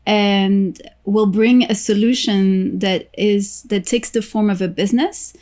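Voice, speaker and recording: A woman from Morocco, a guest on a business podcast, speaking in a thoughtful, measured way with frequent pauses and fillers. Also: mid-range voice, a little mellow. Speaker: guest, social entrepreneur and impact investor. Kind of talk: podcast interview answers.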